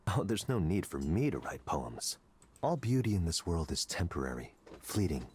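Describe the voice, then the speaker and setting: A man playing a confident, coldly intellectual tech executive in a visual novel, lecturing in a deliberate, persuasive way. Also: sits low, sing-song, a little mellow, a little hiss or hum. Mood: intense, philosophical.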